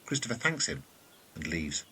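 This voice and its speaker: A man's voice: extremely low pitch, big pitch swings, normal speed, bright, forceful tone. A man reading scripted narration for an audio drama. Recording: faint ambient background noise.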